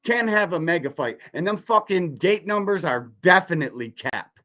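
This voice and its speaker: A man in his forties with a fairly high voice, ranting on a livestream. He talks bluntly and emphatically, swearing freely and throwing out rhetorical questions. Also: lively intonation, moderate pace, bright, forceful tone, highs cut off. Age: 40s. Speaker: podcast host reacting to a video. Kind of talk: heated, profane livestream commentary.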